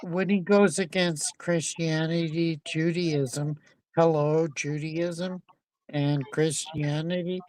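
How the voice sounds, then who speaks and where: Slow, dismissive higher-voiced man interjecting. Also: fairly even intonation, darkish tone, rough recording. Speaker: debater on a livestream. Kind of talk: argumentative casual livestream debate.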